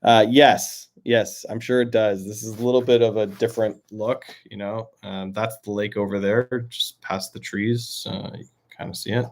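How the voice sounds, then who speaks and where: A young man with a Canadian accent talks to viewers on a live stream, reading their comments aloud and answering them one by one. His voice sits on the low side, and he rambles a little, with lots of "um"s and restarts. He is outdoors near a lake. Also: steady tone, normal speed. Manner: rambling, filler-heavy, many restarts. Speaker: livestream host. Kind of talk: casual livestream chat reading comments.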